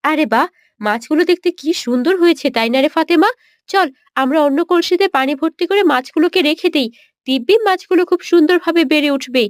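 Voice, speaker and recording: A woman's voice: sits high, quick pace, no room sound, clean studio sound, close to an everyday voice. A woman voicing a bold, outspoken young elder daughter in a cartoon, with a firm manner. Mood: delighted. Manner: firm, scolding. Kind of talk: Bengali cartoon voice acting.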